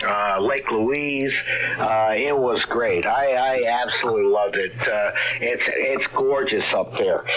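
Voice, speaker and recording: Narrow crackly-band ham radio, guy chatting kinda halting, voices clearly in the background. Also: medium pitch. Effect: radio transmission. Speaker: amateur radio operator. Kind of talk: casual ham radio chat. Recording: narrow tinny radio link, background babble.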